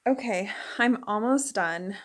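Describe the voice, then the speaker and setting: A woman's voice: mid-range voice, slow. A young adult woman talking in a chatty, informal way, as in a casual first-person vlog to camera. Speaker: vlogger and working actor.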